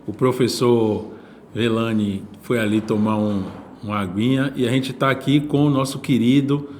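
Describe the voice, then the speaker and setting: A man's voice: medium pitch, fairly even intonation, normal speed, a little mellow, a little hiss or hum. A man from Salvador with a Bahian Brazilian accent, hosting a podcast in a casual, conversational way. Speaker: podcast host.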